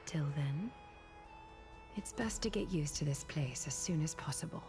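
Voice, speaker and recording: A woman playing a composed, duty-bound regal leader in a story game, speaking formally with soft authority. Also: deep voice, expressive, measured pace, over music. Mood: solemnly composed. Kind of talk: dramatic game voice acting.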